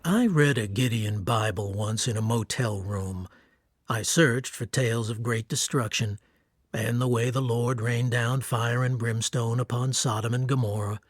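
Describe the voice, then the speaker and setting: A man's voice: medium pitch, husky, no room sound, studio quality. An actor playing an earnest, wry war-veteran writer who tells his story in a radio drama. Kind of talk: radio drama acting and literary narration. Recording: music under the voice. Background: music.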